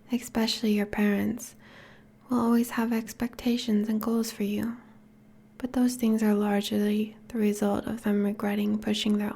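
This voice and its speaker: A woman playing a possessive, teasing yet tender vampire girlfriend in an intimate, whispery ASMR roleplay, speaking softly and coaxingly. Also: mid-range voice. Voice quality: breathy, whispery. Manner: slow, soft, coaxing.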